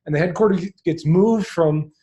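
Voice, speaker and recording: Male interviewer, slightly high register, casual and conversational, feels out each question aloud. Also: normal speed, warm tone.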